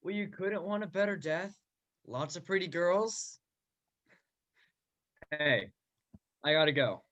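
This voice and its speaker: Man playing a high-voiced carefree younger brother. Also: lively intonation, takes it slowly, a bit bright, heavily acted. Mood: breezy. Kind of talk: 1940s-style radio play acting. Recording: dull, distant video-call microphone.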